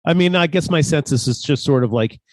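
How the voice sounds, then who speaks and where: A man in a relaxed group discussion among cast members, speaking hesitantly as he thinks things through. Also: on the high side, fairly quick, dry recording. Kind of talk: casual group conversation.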